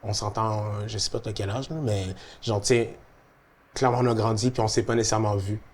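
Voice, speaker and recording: A man's voice: fairly low pitch, a little fast, a little hiss or hum. A young man with a Quebec French accent, a musician chatting casually and reflectively about his career. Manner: relaxed, reflective, many fillers. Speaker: musician guest. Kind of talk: casual conversation.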